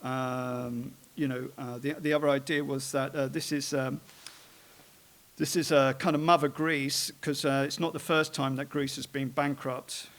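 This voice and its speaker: A man, an artist, talking informally about his own work, halting often with fillers and restarts as he rambles through it. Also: medium pitch, little pitch movement, fairly bright tone.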